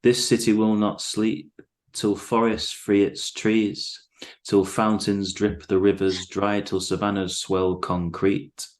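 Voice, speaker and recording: A man's voice: lowish voice, level tone, slow pace. A man speaking as a guest poet, reading verse and chatting casually about it. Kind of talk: poetry reading and casual chat.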